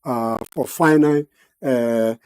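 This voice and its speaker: A man giving measured analysis as a guest in a studio interview, pausing often with "uh". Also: mid-range voice, darkish tone, smooth. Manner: measured, many "uh" pauses, formal phrasing.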